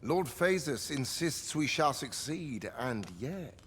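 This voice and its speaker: Haughty male scholar-inventor for a fantasy game: very animated, unhurried, archaic diction, swinging from mutter to exclamation. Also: on the high side, slight background noise. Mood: fretful, dissatisfied. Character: obsessive, haughty, excitable male scholar-inventor of magical devices. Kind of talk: video game cutscene acting.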